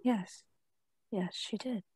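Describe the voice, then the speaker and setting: A woman playing a solemn, insistent vampire-hunting professor in a radio play, husky and emphatic. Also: medium pitch, takes it slowly, a little mellow. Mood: urgent, solemn. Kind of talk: stage-style radio play acting.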